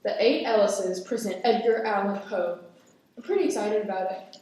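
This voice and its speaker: A woman's voice: mid-range voice, normal speed, warm tone, close to an everyday voice. A woman playing a chirpy, patronising student news co-anchor with an upbeat presenter delivery in a radio play. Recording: very echoey room, faint noise.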